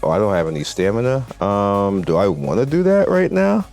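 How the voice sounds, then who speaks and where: Low-voiced man casually commentating a mobile game. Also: sing-song, normal speed, darkish tone. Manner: blunt, opinionated. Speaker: gaming channel host. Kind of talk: casual off-the-cuff gameplay commentary. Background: game music.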